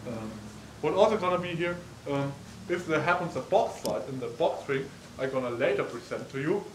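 A man's voice: fairly high pitch, animated, normal speed. A young man giving a casual, chatty walkthrough tour of an old wooden barn, with lots of ums, recorded on a camera mic from a distance. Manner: chatty, frequent ums, gestures while walking. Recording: echoey barn, distant mic, faint noise.

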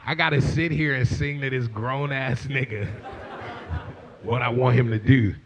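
A man's voice: medium pitch, steady tone, measured pace, crowd noise. A young man in his twenties telling a long profane story, acting out what people said, on a stage with a handheld mic. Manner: profane, rambling, acts out quoted lines. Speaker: guest rapper. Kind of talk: casual storytelling. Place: stage with leather couch before audience.

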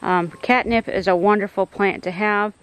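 A woman's voice: mid-range voice, fairly even intonation, moderate pace, slight background noise, low-quality recording. A middle-aged woman giving a casual tour of her backyard garden and greenhouse, explaining plants in an easygoing way with frequent ums and uhs, filming on a handheld phone outdoors.

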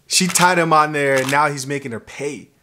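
A man in his thirties hosting a casual music reaction chat from a couch at home, easygoing and quick to agree. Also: fairly high pitch, background noise. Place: indoor room, seated on couch.

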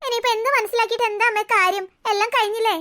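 Two teenage girls tease their mother and drag out the suspense in mock-ominous tones, as a woman voices them. Their voices are very high, bright and quick. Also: fairly even intonation, slight background noise. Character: two teasing teenage daughters.

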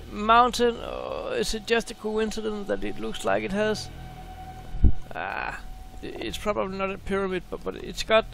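A man hosting a space video, talking casually and excitedly over a screen share, often repeating words. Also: sits high, creaky, a little hiss or hum, low-quality recording.

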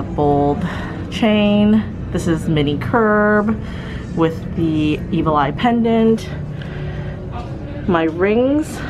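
A young woman in her twenties chatting casually through a clothing try-on vlog, rambling with fillers as she films on a handheld camera in a shop. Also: deep voice. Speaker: fashion vlogger. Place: clothing store, indoors.

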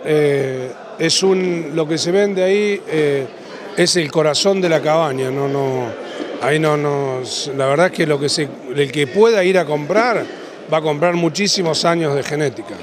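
A man in his thirties with an Argentine accent, answering interview questions as a livestock auctioneer, in a halting way that repeats and rephrases. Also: a little high, a crowd in the background. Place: large indoor event hall after an auction. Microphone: handheld interview microphone.

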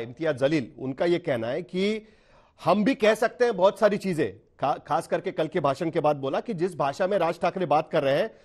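A man in his forties with an Indian accent, questioning a guest as a broadcast news anchor. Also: high voice. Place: TV news studio.

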